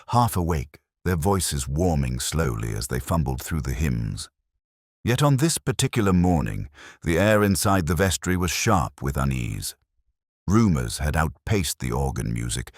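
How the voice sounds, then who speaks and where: A man narrating a scripted mystery story in a measured, dramatic way. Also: very deep voice, dry recording, studio quality.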